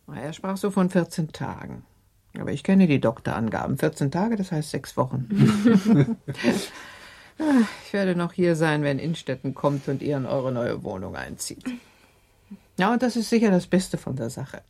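Radio drama mother, middle-aged: genteel, practical, very husky, low and expressive. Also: normal speed, heavily acted. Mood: chatty, practical. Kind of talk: German literary radio drama acting.

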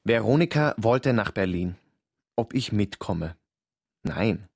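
An actor narrating in the first person as a young actor, a thoughtful, questioning voice in a radio drama. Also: male voice, lowish voice, lively intonation, slow pace, gravelly, darkish tone, slightly rough, no room sound, clean studio sound, exaggerated voice. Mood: reflective. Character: Georg, young Austrian actor, reflective narrator.

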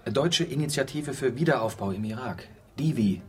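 An actor playing a journalist in a radio drama, with a direct, inquisitive way of talking. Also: male voice, medium pitch, lively intonation, moderate pace, natural voice. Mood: probing. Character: probing male journalist questioning a witness.

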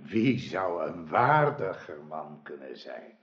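An actor playing a grateful, pleading old lord near death, speaking solemn, formal lines in a radio drama. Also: male voice, extremely low pitch, big pitch swings, slow pace, exaggerated voice. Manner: solemn, formal archaic speech. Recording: dull lo-fi old radio recording.

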